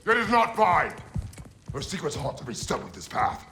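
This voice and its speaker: A gravelly, stern warrior father. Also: fairly high pitch, huge pitch swings, moderate pace, faint music behind, full character voice. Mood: angry. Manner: terse, commanding, often hushed. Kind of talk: video game cutscene acting.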